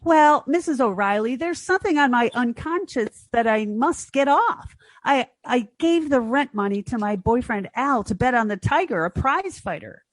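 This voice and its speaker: A woman's voice: fairly high pitch, animated, moderate pace, no room sound. A woman playing a naive, nervous young woman in 1940s radio comedy style, hesitant and stammering. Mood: stalling.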